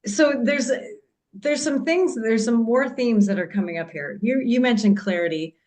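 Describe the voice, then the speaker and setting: A woman's voice: a little high, moderate pace, a little mellow. A woman from Canada moderating a panel, summarizing points in an encouraging way with hesitant restarts.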